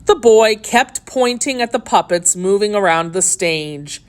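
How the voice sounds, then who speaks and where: Slow, animated woman storyteller. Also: medium pitch. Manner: expressive, deliberate storytelling. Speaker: storybook narrator. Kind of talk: scripted children's story narration.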